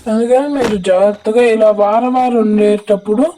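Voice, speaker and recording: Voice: medium pitch, level tone, a little fast, warm tone. A gaming creator with a Telugu accent and a voice changer effect, giving instructional, repetitive gameplay tutorial commentary. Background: music.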